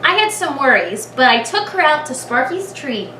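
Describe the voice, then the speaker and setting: A woman reading a picture book aloud to young children as a kindergarten teacher, expressively, with dramatic pauses and thinking aloud as she asks the listeners questions. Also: sits high, sing-song, sharp tone. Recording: echoey room, distant mic, clear background noise.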